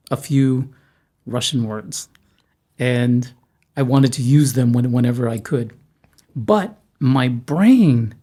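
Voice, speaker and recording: A man's voice: medium pitch, mellow. A man hosting a podcast interview, talking in a chatty, self-deprecating way with frequent restarts. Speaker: podcast host. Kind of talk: casual interview chat. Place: studio room in Moscow.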